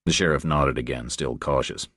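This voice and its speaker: A man's voice: rumbling low, moderate pace, fairly bright tone, no room sound, studio quality. A man reading scripted crime thriller narration as an audiobook narrator.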